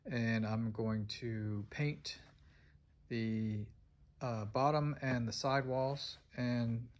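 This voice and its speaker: A man's voice: mid-range voice, little pitch movement, extremely slow. A middle-aged man casually narrating his boat build in a woodworking shop, thinking aloud with plenty of fillers, recorded on a handheld phone. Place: large indoor woodworking shop.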